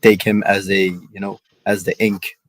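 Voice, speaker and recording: A man's voice: fairly low pitch, level tone, unhurried, no room sound. A man debating on a video call, hesitant and leaning heavily on fillers as he talks. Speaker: debate guest.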